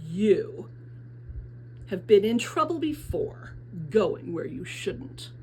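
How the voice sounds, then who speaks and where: A woman's voice: mid-range voice, big pitch swings, slow pace, slight background noise. Woman acting a pompous, menacing male mayor. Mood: stern, condescending. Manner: pompous, ponderous, scolding. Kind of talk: children's novel read aloud.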